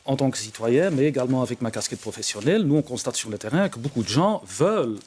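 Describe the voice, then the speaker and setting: A man representing a trade union on a studio debate panel, with a mid-range voice. He talks in a measured way, hedging and restarting his sentences. He is a native Dutch speaker. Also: mid-range voice, animated, darkish tone, husky. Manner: measured, restarts and hedges often. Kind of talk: televised debate.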